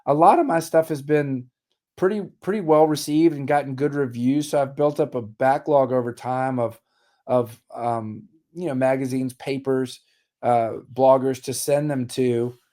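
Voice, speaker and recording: Mellow-voiced man, voice a bit worn. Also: mid-range voice, steady tone, unhurried. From Richmond, Virginia. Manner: candid, wry, matter-of-fact. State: slightly losing his voice. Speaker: musician guest. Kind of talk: casual interview chat.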